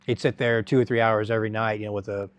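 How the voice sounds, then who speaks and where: A middle-aged man telling his life story as an interview guest, halting and rambling with many restarts. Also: lowish voice, little pitch movement, dry recording.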